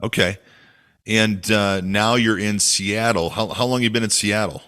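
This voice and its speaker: Informal male host, lower voice, easygoing with his questions. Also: steady tone, unhurried, bright, forceful tone, smooth. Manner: friendly, informal, with fillers. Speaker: podcast host. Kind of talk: casual interview hosting.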